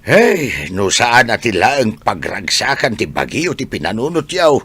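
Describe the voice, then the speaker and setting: A man's voice: mid-range voice, huge pitch swings, measured pace, sharp tone, husky, dry recording, full character voice. A man playing a stern, scolding older father in an audio drama, speaking in an admonishing manner. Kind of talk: dramatized story acting.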